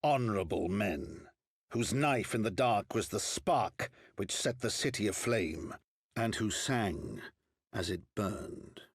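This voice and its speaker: A grave, cynical fantasy knight in a game cutscene. His lowish voice is deliberate and theatrical, with archaic phrasing, recorded close and dry. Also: expressive, breathy edge, dry recording, exaggerated voice. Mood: bitter, brooding. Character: stern, eloquent, cynical knightly man of grave temper. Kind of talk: dramatic game cutscene acting.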